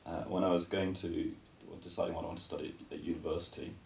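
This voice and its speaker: Gravelly deep-voiced British man, relaxed guest. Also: fast, roomy sound, far from the mic, a little hiss or hum. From United Kingdom. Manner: relaxed, hesitant, lots of uh and restarts. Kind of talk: casual radio interview.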